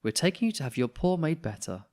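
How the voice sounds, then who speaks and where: A kind young storybook girl, voiced by a man. She speaks in a lifted, lilting pitch with a soothing manner. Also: very animated, fast, darkish tone.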